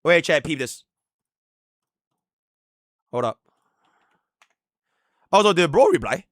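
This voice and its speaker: A man from Singapore, live-streaming casual chat with his viewers, excitable and slangy, swinging between hyped outbursts and low mumbles. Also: slow, dry recording, clean studio sound.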